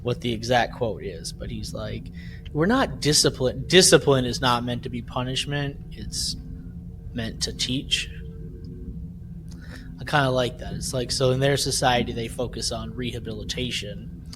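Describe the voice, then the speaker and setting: A man's voice: mid-range voice, normal speed, noisy background. A man co-hosting a podcast in casual discussion, retelling scenes and often checking his points with "right?". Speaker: podcast co-host. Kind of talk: casual discussion recapping an audio drama.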